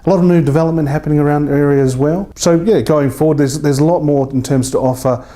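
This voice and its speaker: Very mellow New man, chatting informally. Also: fairly high pitch, fairly even intonation, quick pace. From New Zealand. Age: 30s. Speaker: real estate agent being interviewed. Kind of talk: casual interview chat.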